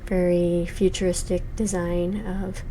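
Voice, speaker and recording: A woman's voice: fairly low pitch, flat delivery, measured pace, dark tone, a little hiss or hum, poor audio. Thoughtful woman in her forties reviewing a game. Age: 40s. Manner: thoughtful, hesitant, many fillers. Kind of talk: let's play commentary.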